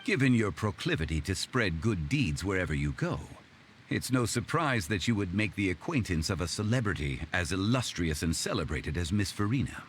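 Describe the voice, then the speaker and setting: A man playing a composed, erudite gentlemanly scholar in a video game, formal, measured and courteous. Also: low voice, big pitch swings, background noise. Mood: courteous, calm. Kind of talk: English video game voice acting.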